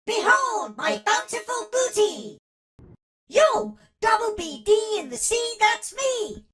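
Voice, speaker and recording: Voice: extremely high pitch, sing-song, slow, soft background music, heavily acted. An actor voicing a boisterous, boastful robot for a video game, with theatrical exclamations. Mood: boastful, gleeful. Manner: theatrical pirate talk, exclamatory shouting. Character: boisterous robot pirate in a barrel, cartoonish braggart. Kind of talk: video game character voice lines.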